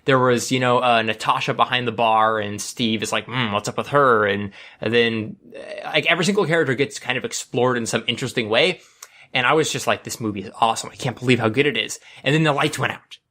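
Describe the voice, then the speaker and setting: A man's voice: medium pitch, fast, pushed and bright. A man hosting a casual podcast discussion, talking in an enthusiastic way. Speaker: podcast host.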